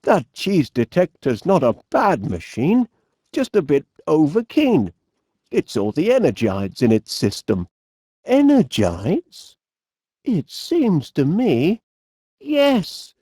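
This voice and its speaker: An actor playing a polite, bumbling, enthusiastic inventor with a Northern English accent in an animated video game, hesitant and fussily courteous with quaint turns of phrase. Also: male voice, sits high, dry recording, studio quality, exaggerated voice. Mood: regretful, musing.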